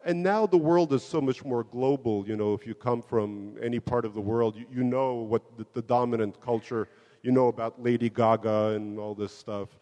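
A man's voice: medium pitch, moderate pace, a little mellow. Self-mocking man in a reverberant hall. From Soviet Union. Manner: self-deprecating, laughs often, many restarts. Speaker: interview guest. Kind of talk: relaxed conversational storytelling.

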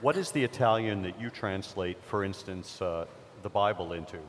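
A man's voice: sits low, moderate pace, a little hiss or hum. A man moderating a panel discussion, asking probing questions and restarting his sentences with small stammers. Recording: echoey hall.

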